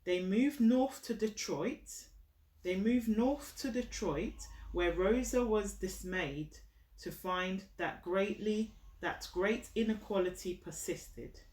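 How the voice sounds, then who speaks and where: A woman's voice: medium pitch, slow pace, darkish tone. Woman reading aloud in an echoey room. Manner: teacherly, checks understanding with questions and repeats key phrases. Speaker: mother reading aloud to her child. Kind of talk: children's picture book read-aloud with explanations. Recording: roomy echo, distant from the mic.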